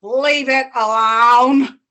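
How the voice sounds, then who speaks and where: A woman's voice: high-pitched, fairly even intonation, drawn-out words, bright, forceful tone, full character voice. A woman voicing the brother's wife, a no-nonsense woman who scolds him firmly. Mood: scolding. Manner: firm, scolding.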